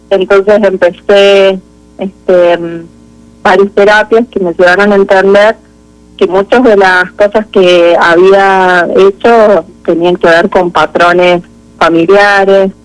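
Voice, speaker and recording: A woman with an Argentine Spanish accent giving a halting, introspective personal account as an interview guest. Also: medium pitch, clean tone, poor audio.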